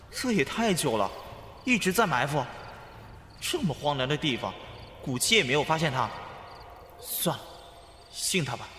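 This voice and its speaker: An actor playing a brash, impulsive but devoted young man in an expressive Chinese costume audio drama. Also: fairly high pitch, slight background noise. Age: young adult.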